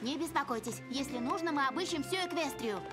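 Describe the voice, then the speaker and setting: A woman voicing an earnest, caring and sensible young female pony in an animated children's dub. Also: high-pitched, brisk. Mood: worried, determined. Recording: prominent music behind the voice.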